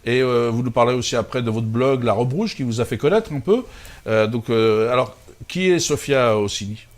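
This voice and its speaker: Man hosting, halting with fillers. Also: medium pitch. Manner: hesitant, many fillers, friendly. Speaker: show host. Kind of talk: TV interview hosting.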